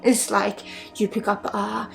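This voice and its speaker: A woman who is a native Swedish speaker, giving an ASMR energy healing in an intimate, halting, casual way, slipping often between soft voice and breathy whisper. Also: mid-range voice, flat delivery. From Sweden. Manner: casual, blunt, swearing, halting with asides. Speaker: spiritual healer and ASMR host. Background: music.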